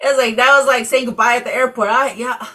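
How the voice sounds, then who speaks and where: A woman's voice: fairly high pitch, fairly even intonation, brisk, bright, forceful tone. A woman from Samoa leading a casual class discussion over a video call, chatty, with many fillers. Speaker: class facilitator.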